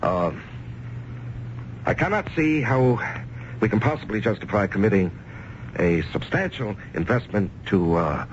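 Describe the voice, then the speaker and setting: An actor playing a stern, formal company boss who gives orders curtly, in a 1970s radio drama. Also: male voice, fairly low pitch, lively intonation, measured pace, pauses often. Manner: curt, commanding, formal. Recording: lo-fi vintage radio recording, faint hiss.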